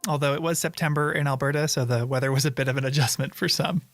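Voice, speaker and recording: A man's voice: medium pitch, fairly even intonation, fast, fairly bright tone, no room sound. A man hosting, moving between scripted narration and interview questions over guitar music. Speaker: podcast host and interviewer.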